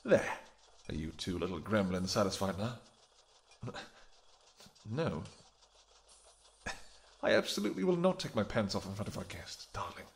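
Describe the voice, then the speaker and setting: Man voicing a vampire king, deep-ish gravelly tone, playful and affectionate, soft murmuring delivery. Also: very animated, normal speed, long pauses, a little hiss or hum. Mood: flirty teasing. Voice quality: gravelly, low. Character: royal vampire king, adult male, flirtatious. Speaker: audio roleplay performer. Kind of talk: audio roleplay acting.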